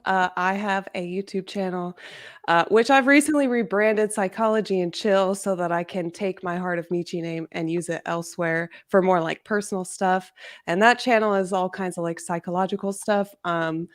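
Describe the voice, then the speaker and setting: A woman's voice: mid-range voice, steady tone, normal speed. A woman guest chatting casually on a livestream, informal and peppering her sentences with "like". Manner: informal, self-deprecating, peppered with "like". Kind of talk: casual chat.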